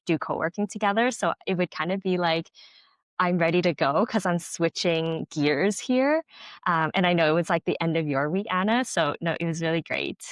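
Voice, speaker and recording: A woman's voice: lowish voice, dry recording. A young adult Canadian woman, a podcast guest, talking casually with lots of fillers and easy laughter. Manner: chatty, laughs often, lots of "like" and "honestly". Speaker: podcast guest, content creator. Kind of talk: casual conversational interview.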